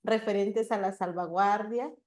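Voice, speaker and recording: Slow, deliberate woman presenting. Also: medium pitch, steady tone, a little mellow. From Honduras. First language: Garifuna. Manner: slow and deliberate, teacher-like with call and response. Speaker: guest speaker, language promoter. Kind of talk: presentation to an audience.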